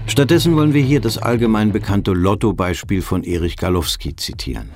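An actor playing a measured, ironically earnest narrator in a satirical radio drama. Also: male voice, fairly low pitch, darkish tone, soft background music, natural voice. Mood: dry, explanatory. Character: wry male narrator of a financial satire.

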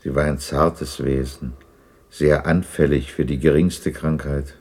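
A man's voice: very deep voice, fairly even intonation, unhurried, darkish tone, slightly rough, full character voice. A man acting a remorseful old miser moved by his memories in a 1960s German radio drama. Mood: wistful regret. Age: older.

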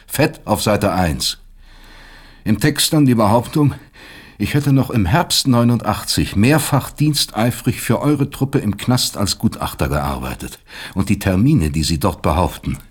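An actor playing a bitter, resigned middle-aged professor in a radio play, lecturing in a measured, sardonic way. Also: male voice, on the low side, breathy edge. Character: disgraced, bitter male professor, philosophical and resigned. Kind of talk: German radio play acting.

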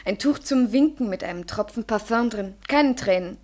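An actress playing a tender, fragile woman parting from her lover in an intimate German radio play, hushed and restrained. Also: fairly high pitch, expressive, moderate pace, natural voice. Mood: brave, wistful. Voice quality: breathy, soft.

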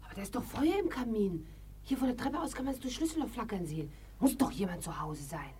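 An excitable young heroine of an old radio drama. Her voice is on the high side, and she speaks with impulsive, theatrical feeling. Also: fast talker, very noisy. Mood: uneasy. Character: impulsive, excitable young woman dreaming of luxury. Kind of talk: 1950s German radio play acting.

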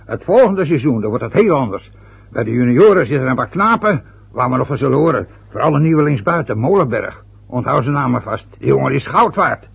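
A man's voice: mid-range voice, sing-song, fairly quick, gravelly, husky, exaggerated voice. An older man playing a stubborn, sarcastic father in a radio drama. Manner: grumbling, sarcastic. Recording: dull lo-fi old radio recording.

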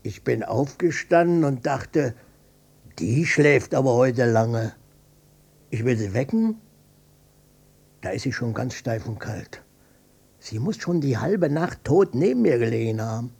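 An older man playing a stubborn, defensive widowed father in a radio drama, blunt and colloquial, with a gravelly, husky voice. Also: mid-range voice, animated, a little slow, long pauses, darkish tone, full character voice. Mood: matter-of-fact. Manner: blunt, colloquial, swinging between offhand and touchy. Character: gruff widowed old father, stubborn, defensive, matter-of-fact.

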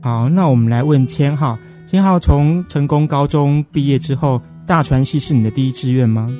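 A man's voice: medium pitch, moderate pace, warm tone, soft background music. A man with a Taiwanese accent hosting a conversational radio interview, asking probing questions. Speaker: radio host.

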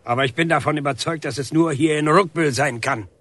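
A man acting in a radio drama as an aggrieved older painter, husky, deliberate and insistent, with grunting hesitations. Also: on the high side, fairly bright tone. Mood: accusing. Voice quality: husky, rough. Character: aggrieved, defiant older painter confronting a policeman.

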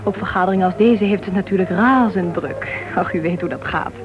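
A woman's voice: mid-range voice, brisk, close to an everyday voice. An actress playing a gossipy, sociable committee woman of a writers' club in a Dutch radio play, chatty and easily piqued. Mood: chatty, informative. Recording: tinny band-limited old radio recording, music behind.

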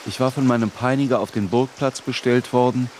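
A man's voice: medium pitch, steady tone, brisk, background noise, lightly acted. A man playing an adult narrator in a radio play, looking back on a tormented boyhood in literary, introspective narration. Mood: melancholy, reflective.

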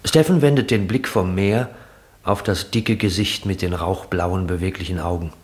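A man reading literary narration for a 1960s German radio drama in a measured, descriptive manner. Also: sits low.

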